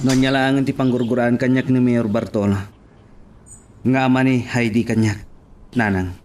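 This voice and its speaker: A man's voice: mid-range voice, flat delivery, moderate pace, darkish tone, natural voice. A man playing a devoted, defiant young policeman son in an audio drama, earnest and pleading. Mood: hurt, questioning.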